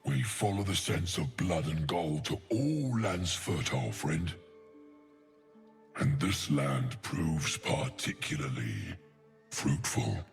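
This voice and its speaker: An actor voicing a huge, gluttonous, greedy ogre mercenary in a video game, eloquent and pompous, savoring florid words. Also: male voice, extremely low pitch, animated, takes it slowly, creaky, soft background music, full character voice. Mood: confident, assured. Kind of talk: video game character acting.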